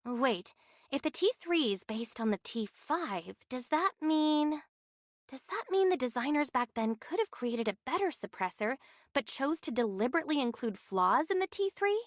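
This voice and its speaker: An earnest young heroine from an anime adventure game. Her voice is notably high and she speaks directly and inquisitively. The sound is dry and studio-close. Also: big pitch swings, normal speed, dry recording. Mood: troubled realization. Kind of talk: scripted anime game voice acting.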